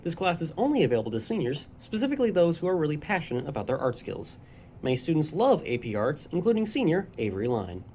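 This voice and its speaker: A teenage narrator reading a school news feature from a script. The delivery is quick and brisk, and faint ambient noise sits behind it. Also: medium pitch, sing-song, warm tone. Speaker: student reporter.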